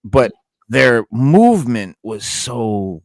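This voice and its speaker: A man's voice: mid-range voice, big pitch swings, takes it slowly, no room sound. A man in his forties co-hosting a casual hip-hop chat, emphatic and loose, with plenty of profanity and stammered restarts. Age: 40s. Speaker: podcast co-host.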